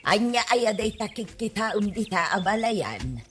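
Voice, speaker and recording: A woman playing an older, dismayed village mother in an Ilocano radio comedy drama, with a husky voice. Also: mid-range voice, very animated, normal speed, fairly bright tone, a little hiss or hum.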